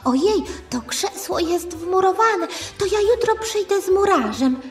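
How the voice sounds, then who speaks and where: A woman voicing an excitable, insistent aristocratic princess in a children's story. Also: very high-pitched, measured pace, pitched above the performer's own voice. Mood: eager, polite. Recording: echoey, distant, music behind.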